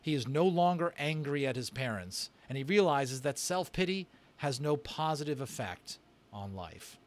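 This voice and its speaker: A man with a mid-pitched voice reads aloud like a teacher working through study questions. He slips between measured reading and informal, off-the-cuff commentary, thinking out loud in a conversational way. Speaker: voice actor and book reader hosting a read-aloud. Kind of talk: reading discussion questions aloud with casual asides.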